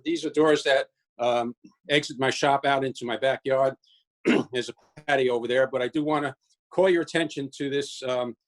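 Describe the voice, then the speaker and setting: Older New York man, halting casual talk. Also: mid-range voice, rough recording. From Brooklyn, New York City. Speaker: woodturner giving a workshop tour. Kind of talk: casual unscripted tour talk. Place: home basement-like workshop, indoors. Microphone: webcam, later handheld phone.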